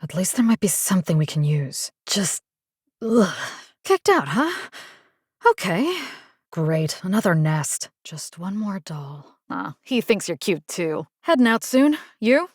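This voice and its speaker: A woman's voice: fairly low pitch, big pitch swings, measured pace, breathy edge, no echo, clean studio sound, heavily acted. An actress playing a caring, tough young woman survivor in a video game, talking in a casual, wry way. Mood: alert, dry. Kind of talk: video game character dialogue lines.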